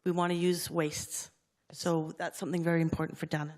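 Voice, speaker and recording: Panelist, a woman with a lowish voice who mixes into hesitant French. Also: level tone, darkish tone. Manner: halting French mixed with English words. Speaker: conference panelist. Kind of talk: conference panel talk.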